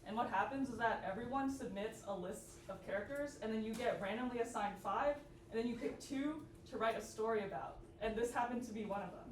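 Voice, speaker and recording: A woman's voice: fairly high pitch, fairly quick, few pauses. A young woman giving an informal workshop talk, saying "like" often, recorded from a distance in an echoey, noisy room. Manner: informal, peppered with "like". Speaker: workshop presenter.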